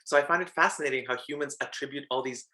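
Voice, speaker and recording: A man's voice: mid-range voice, fast, bright, forceful tone. A young man from Greece, a drag performer, talking casually in an interview, chatty with frequent fillers and laughs.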